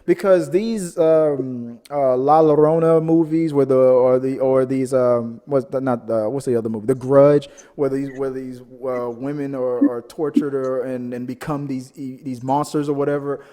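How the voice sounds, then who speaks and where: A man's voice: medium pitch, steady tone, normal speed, hardly any pauses, warm tone. A man hosting a film discussion podcast in a casual, rambling, jokey way, often searching for words. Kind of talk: casual chat. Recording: long hall-like reverb.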